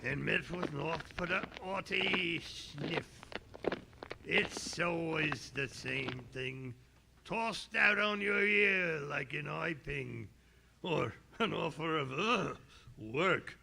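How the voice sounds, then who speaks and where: A man's voice: fairly high pitch, takes it slowly, creaky, background noise, heavily acted. An actor playing a down-on-his-luck, idle tramp in a radio-style drama, muttering wryly to himself. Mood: weary, wry. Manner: wry, rambling self-talk. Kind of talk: radio-style stage audio drama acting.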